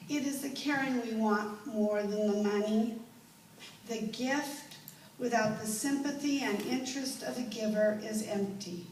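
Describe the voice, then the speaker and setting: Woman acting a slow, solemn deaf-blind woman orator. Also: fairly high pitch, a little mellow, close to an everyday voice. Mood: impassioned appeal. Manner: deliberate, solemn, halting phrasing. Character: Helen Keller, earnest deaf-blind woman pleading for the blind. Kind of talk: theatrical speech to an audience. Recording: echoey room, distant mic, faint noise.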